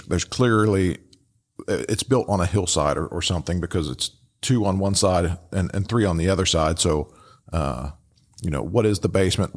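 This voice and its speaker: A man hosting a podcast with casual, unscripted commentary, speaking haltingly and often repeating his words. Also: low-pitched. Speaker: podcast host.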